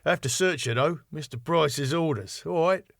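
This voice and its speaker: A man's voice: on the high side, normal speed, gravelly, a bit bright, no echo, studio quality, pitched above the performer's own voice. Man doing a matey, matter-of-fact doorman. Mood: matey, businesslike. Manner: matey, colloquial. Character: George, friendly, matter-of-fact male doorman.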